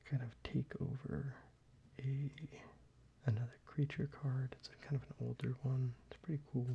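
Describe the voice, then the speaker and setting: A man whispering softly and unhurriedly, with fillers, as he shows cards to the viewer for ASMR. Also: medium pitch, gravelly, muffled recording, slight background noise. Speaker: ASMR creator. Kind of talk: soft-spoken ASMR card deck showcase.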